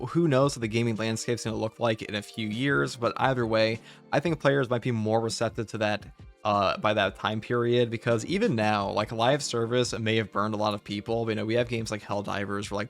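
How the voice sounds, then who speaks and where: A man who makes gaming videos, casually discussing a video game and explaining his opinions in a measured way. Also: medium pitch. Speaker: gaming YouTuber.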